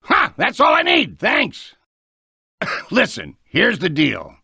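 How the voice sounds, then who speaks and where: An actor playing a brash, publicity-hungry mayor in a video game, gravelly, theatrical and blustering. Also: male voice, high voice, huge pitch swings, slow, bright, forceful tone, dry recording, shouting, clean studio sound, full character voice. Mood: blustering, bossy.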